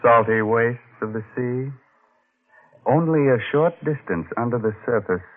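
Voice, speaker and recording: A man hosting and narrating a 1940s radio mystery in a theatrical, droll, mock-sinister manner with deliberate pauses. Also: medium pitch, phone-quality sound. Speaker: mystery show host and narrator. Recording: thin, noisy old radio recording.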